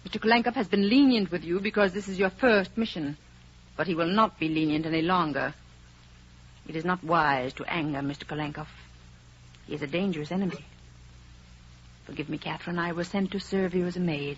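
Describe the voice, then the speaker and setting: A woman's voice: deep voice, a little fast, natural voice. A woman playing a stern, dutiful maid who is a secret go-between in a 1930s radio drama, formal and ominous. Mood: apologetic. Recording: muffled, noisy old 1930s radio recording.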